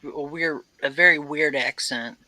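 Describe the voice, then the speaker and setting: A woman's voice: deep voice, measured pace, dry recording, rough recording. A woman on a live talk stream, chatting casually and telling a funny family story with laughs. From family from Arkansas. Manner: laughing, lively anecdote telling.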